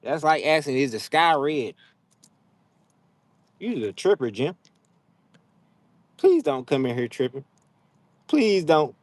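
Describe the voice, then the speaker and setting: A young adult man with hosts a casual livestream, talking trash to his viewers in an animated, drawling, slangy way. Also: fairly high pitch, takes it slowly, pauses often, no echo. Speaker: livestream host. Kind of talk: casual live chat with viewers. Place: outdoors, frogs nearby.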